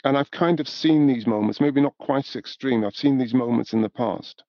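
A man's voice: mid-range voice, no room sound. Older man, dry dull recording. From north of England, born in Newcastle. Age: 70s. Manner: thoughtful, measured, with repeated "you know". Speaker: podcast guest, music industry professional. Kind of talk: reflective interview conversation.

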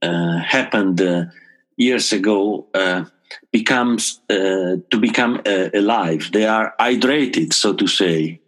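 A man giving interview answers as a psychoanalyst, halting with many hesitations. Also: low-pitched. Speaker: psychoanalyst guest.

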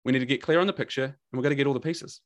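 A man's voice: mid-range voice, rapid-fire. A man with a New Zealand accent, a podcast guest and coach, talking candidly and energetically, swearing freely and repeating words for emphasis. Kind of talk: casual podcast conversation.

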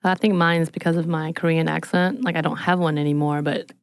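American woman co-host, slightly low voice. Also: steady tone, a little fast, smooth, dry recording, clean studio sound. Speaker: podcast co-host. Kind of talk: casual co-hosted podcast chat.

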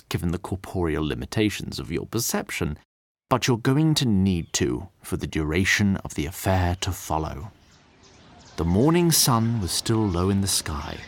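An actor playing a haughty, wry otherworldly narrator of a fantasy audio drama, with ornate, deliberate, condescending phrasing. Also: male voice, sits low. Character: haughty, wry otherworldly storyteller addressing lesser mortal listeners. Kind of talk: theatrical scripted fantasy narration. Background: music under the voice.

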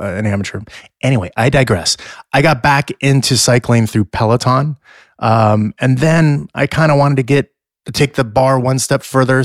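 A man's voice: medium pitch, dry recording, studio quality. A middle-aged man with a scratchy voice, co-hosting a podcast in casual chat and rambling through fillers and restarts. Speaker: podcast co-host.

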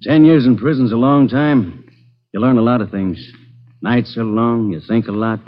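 An actor playing a bitter ex-convict fresh out of prison, talking low and brooding with hidden resentment, in a 1940s radio drama. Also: male voice, moderate pace, natural voice. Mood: sullen. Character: bitter ex-convict man, ten years in prison. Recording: dull 1940s radio broadcast.

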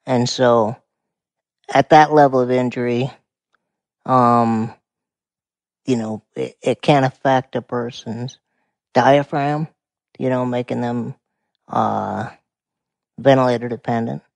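A man in his forties from Montana with a quiet voice, calmly explaining things as a podcast guest. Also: medium pitch, takes it slowly, creaky, pauses often, no echo. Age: 40s. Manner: calm, explanatory. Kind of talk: casual conversation.

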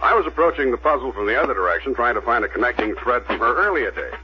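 An actor playing a methodical, persistent police detective with an American accent, measured and matter-of-fact, in a radio drama. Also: male voice, medium pitch, expressive, music in the background, lightly acted. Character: methodical, persistent male police detective narrating his case. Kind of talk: 1970s radio drama narration and dialogue. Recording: muffled old radio recording.

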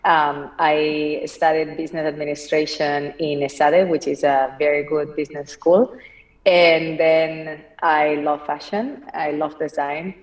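A Spanish-accented woman talks through her story as an interview guest. Her voice sits low with little rise and fall, and it is a little distant from the microphone. She pauses often with fillers. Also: fairly even intonation. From Spain, Barcelona. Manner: thoughtful, many fillers, slow at times. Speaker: company founder, guest. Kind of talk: podcast interview, conversational.

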